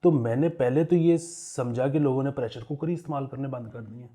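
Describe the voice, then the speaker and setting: A man's voice: mid-range voice, fairly quick, mellow. A man with a Pakistani accent chatting casually as a podcast co-host, telling anecdotes in a joking way and chuckling as he talks. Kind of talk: casual humorous current affairs chat.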